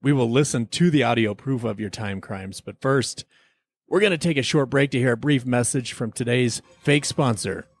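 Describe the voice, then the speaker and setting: A man's voice: mid-range voice, moderate pace. A man hosting a podcast, moving between casual banter and playful, mock-serious scripted presenting. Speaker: podcast host.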